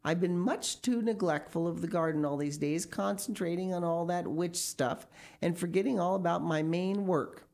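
A woman's voice: fairly low pitch, moderate pace, a little mellow, natural voice. A woman voicing a wrinkled old woman witch, a proud and joyous gardener, in an exclamatory storybook reading. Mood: resolute, musing.

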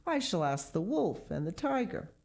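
A woman's voice: fairly low pitch, big pitch swings, moderate pace, gravelly, darkish tone, pitched above the performer's own voice. A woman voicing a huge, polite elephant who asks for food for its calves and then apologizes courteously, in a read-aloud story.

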